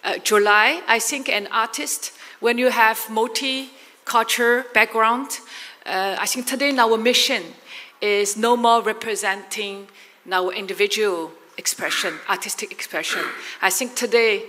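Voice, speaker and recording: Earnest Chinese-accented woman, bright voice. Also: a little high, a little slow. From Shanghai, China. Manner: earnest, searching for words, many fillers. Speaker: artist, panelist. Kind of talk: panel discussion answer. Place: large conference hall. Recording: reverberant conference hall.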